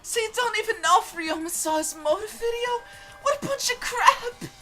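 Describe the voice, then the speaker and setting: A woman's voice: extremely high pitch, animated, normal speed, sharp tone, full character voice. A woman in an amateur game fan dub voicing a mischievous, flippant schemer in an exaggerated, playful way. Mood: mock outrage. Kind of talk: fan dub of an anime detective game, ad-libbing. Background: background music.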